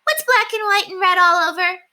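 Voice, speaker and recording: A woman's voice: very high voice, animated, moderate pace, pushed and bright, voice pushed up, heavily acted. A woman voicing a mischievous, pestering kindergarten girl from a children's storybook, in a singsong manner. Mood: mischievous, playful.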